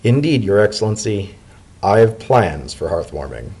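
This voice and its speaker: A man's voice: on the low side, big pitch swings, normal speed, a little mellow, slightly rough, exaggerated voice. A man reading the part of a male pony government secretary in a storybook tale, polite, earnest, formal and deferential.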